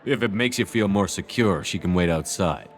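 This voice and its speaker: A man's voice: low-pitched, animated, moderate pace, faint music behind. An actor playing a stoic, confident male soldier in a video game, speaking in a terse, matter-of-fact way. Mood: guarded. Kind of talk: video game dialogue acting.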